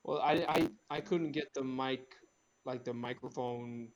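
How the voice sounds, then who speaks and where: A man's voice: medium pitch, measured pace, muffled recording. A man narrating a script in a casual group read, breaking off for playful, mocking asides, heard through a slightly distorted laptop microphone. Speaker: narrator reading screenplay stage directions. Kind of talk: joking group table read and casual online chat. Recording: distorted laptop mic on online voice chat.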